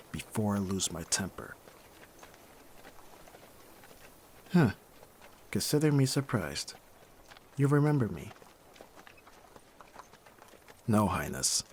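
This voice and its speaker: A man playing a bitter, hardened young thief in an ASMR roleplay, speaking with mockingly courteous, formal phrasing and pointed pauses. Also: lowish voice, slight background noise. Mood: menacing, then cold, guarded. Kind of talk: scripted ASMR roleplay acting.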